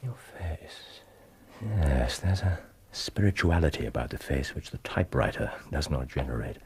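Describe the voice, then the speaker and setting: A man's voice: a bass voice, lively intonation, moderate pace, husky, full character voice. An actor playing a brusque, aloof male detective who makes dry deductions in a radio drama. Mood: deducing.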